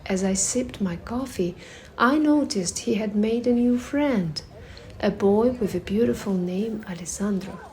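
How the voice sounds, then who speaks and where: A woman reading a personal essay aloud at a public reading, in a measured, reflective way with pauses between phrases. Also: mid-range voice, dark tone. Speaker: reader at a performative reading.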